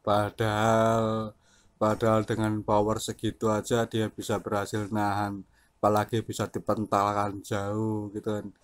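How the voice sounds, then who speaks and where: Low-pitched man chatting casually. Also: monotone, a little slow, clean tone. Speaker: vlogger and CB radio hobbyist. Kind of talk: casual radio chat.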